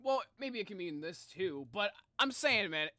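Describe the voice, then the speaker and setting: A streamer giving excitable, rambling, slangy reaction commentary in a casual style. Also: medium pitch, normal speed, dry recording, studio quality. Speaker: YouTube reactor commenting on game lore.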